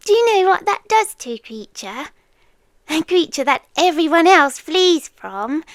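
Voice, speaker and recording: Woman playing a slow, high-voiced British beast girlfriend, hushed. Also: no echo, full character voice. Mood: tender, confessional. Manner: slow, hushed delivery with frequent whispers and long pauses. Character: protective, yandere female beast, ancient and tenderly devoted. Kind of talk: ASMR roleplay.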